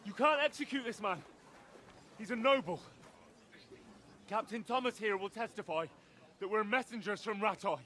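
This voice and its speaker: A man's voice: high-pitched, normal speed, pauses often, sharp tone, a little hiss or hum. An actor playing an entitled young nobleman, protesting haughtily and urgently in a medieval video game drama.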